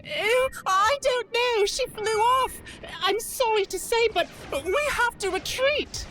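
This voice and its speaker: A woman's voice: very high-pitched, slow pace. A woman playing a plain-spoken, exasperated young female lieutenant in a science fiction audio drama, blunt in manner. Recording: faint background noise.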